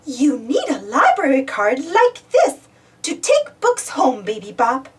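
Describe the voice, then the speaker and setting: A woman voicing a welcoming librarian in a storybook, warm and instructive. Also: high-pitched, wildly swooping pitch, unhurried, far from the mic, exaggerated voice.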